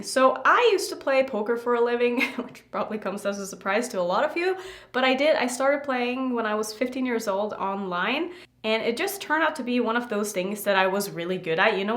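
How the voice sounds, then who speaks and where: A woman from Norway chats casually to her viewers in a medium-pitched voice. She rambles informally and drops in little asides as she goes. The room adds a clear echo around her speech. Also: fairly bright tone. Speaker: makeup vlogger. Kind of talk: casual storytime chat to viewers.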